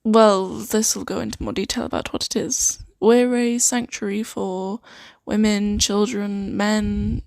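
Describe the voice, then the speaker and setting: A woman playing a friendly, flustered sanctuary worker in an improvised fantasy ASMR roleplay, talking in a hesitant, halting, awkward way. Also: medium pitch, fairly even intonation, gravelly, darkish tone, no echo. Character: friendly, flustered young female sanctuary worker named Claire.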